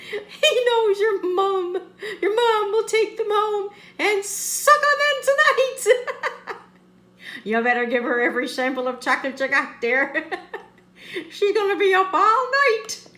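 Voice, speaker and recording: A woman's voice: extremely high pitch, very animated, slow, long pauses, pushed and bright. A woman reading a picture book aloud, constantly breaking into giggles and making teasing asides. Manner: constant giggling, cracks up between lines. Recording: roomy, echoey sound.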